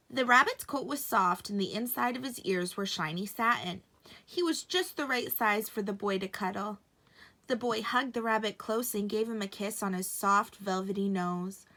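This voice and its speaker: A woman narrating a bedtime story for children in an expressive storytelling style. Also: mid-range voice.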